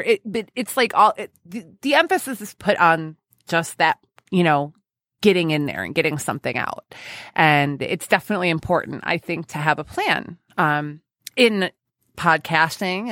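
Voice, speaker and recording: A woman's voice: lowish voice, a bit bright, no echo, clean studio sound. A woman hosting a podcast in casual interview chat, enthusiastic and effusive, often giggly. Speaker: podcast host.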